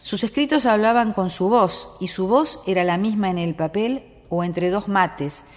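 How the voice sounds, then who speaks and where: Woman announcer, mid pitch, dry close sound. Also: unhurried, no echo. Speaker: radio announcer. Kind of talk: scripted radio announcement and reading.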